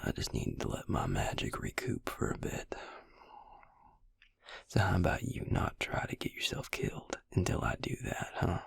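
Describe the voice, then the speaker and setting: Man playing a husky, whispering male vampire healer. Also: deep voice, sing-song, moderate pace, full character voice. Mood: weary, teasing. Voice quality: breathy, husky, gravelly near-whisper. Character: gruff, caring, sardonic male vampire healer. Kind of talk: intimate ASMR roleplay audio acting.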